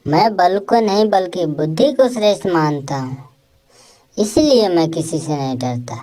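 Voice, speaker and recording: A woman voicing a clever, fearless male monkey who reasons with self-assurance in an animated moral story. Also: on the low side, unhurried. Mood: defiant, confident.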